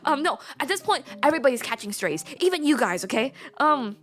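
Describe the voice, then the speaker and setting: Bright, high-voiced woman telling a story. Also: very animated, soft background music. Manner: chatty, full of "like" fillers, acts out family quotes with slowed emphasis. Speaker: streamer. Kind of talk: casual storytelling on a livestream.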